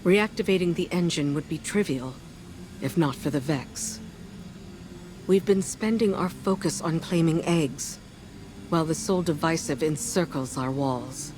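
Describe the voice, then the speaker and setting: A woman playing a stern, war-weary military commander in a video game, speaking firmly and gravely. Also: low voice, unhurried, background noise. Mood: grim, weary. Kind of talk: scripted game dialogue.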